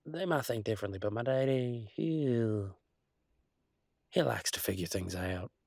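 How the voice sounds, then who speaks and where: A man playing an easygoing, affectionate country werewolf boyfriend, talking in a folksy, halting way in an intimate roleplay audio. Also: medium pitch, lively intonation, creaky, a little mellow, no room sound, studio quality. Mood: hesitant, uneasy. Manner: folksy, drawling slang, halting asides.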